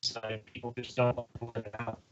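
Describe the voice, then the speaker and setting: A man's voice: mid-range voice, monotone, normal speed, fairly bright tone, highs cut off. A man co-hosting a casual review chat about audio drama.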